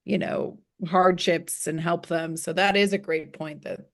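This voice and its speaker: A woman's voice: lowish voice, steady tone, a little slow. A woman hosting an interview show in a casual, conversational presenting style. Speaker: interviewer, host.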